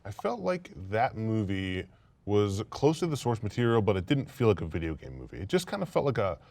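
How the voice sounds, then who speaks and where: A man's voice: on the low side, big pitch swings, a little fast, vocal fry, a little mellow. A man chatting casually as a panelist, thinking aloud and repeating words as he goes. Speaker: panelist on a film and gaming talk show.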